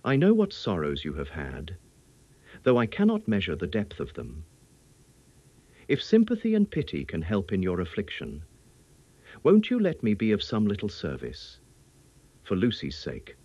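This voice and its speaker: A gentle, sympathetic young Englishwoman, voiced by a British man in a classic audiobook. The delivery is tender, formal and pleading, low in register with expressive swings. The recording is dry and slightly muffled. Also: moderate pace, long pauses, breathy edge, no room sound, heavily acted.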